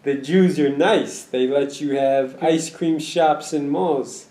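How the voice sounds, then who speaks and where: A man's voice: a little high, slow, dark tone. A man interviewing a guest with probing, sometimes provocative questions. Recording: echoey room.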